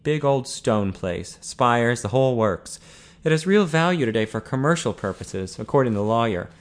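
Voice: medium pitch, expressive, moderate pace, lightly acted. A narrator as a reserved, guarded young man. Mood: subdued.

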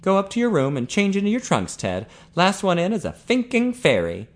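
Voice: medium pitch, wildly swooping pitch, normal speed, voice pushed up. A narrator playing a cocky, flamboyant young man who is teasing and pleased with himself, in a story reading. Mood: exasperated, playful. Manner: snickering, exclamatory.

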